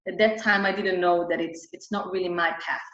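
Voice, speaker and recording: Woman vocal coach, interview guest, halting nonnative English, roomy recording. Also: on the low side, steady tone, a bit bright. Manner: frequent restarts and fillers, nonnative phrasing. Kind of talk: casual reflective interview talk. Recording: roomy, dull lo-fi sound.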